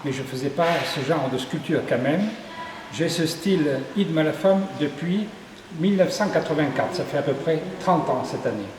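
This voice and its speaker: A man's voice: a little high, moderate pace, darkish tone, a little hiss or hum. A man from southern Ardèche, a sculptor, describing his works at length with small hesitations in an interview at an exhibition. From southern Ardèche, France. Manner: descriptive, lists details with hesitations. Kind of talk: interview, explaining his artworks. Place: tourist office exhibition hall. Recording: echoey hall with faint ambience.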